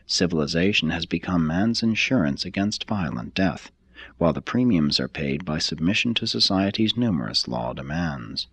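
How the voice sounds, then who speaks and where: A man reading a formal text aloud as scripted narration, in a measured, deliberate manner. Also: sits low, creaky, a bit bright, breathy edge, dry recording. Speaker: audiobook reader.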